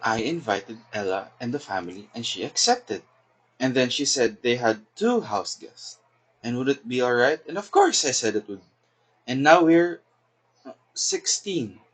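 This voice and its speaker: Man playing an earnest, husky-voiced society daughter. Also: medium pitch, big pitch swings, measured pace, low-quality recording. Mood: flustered, defensive. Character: anxious, well-meaning daughter of a society hostess. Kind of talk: theatrical stage comedy acting.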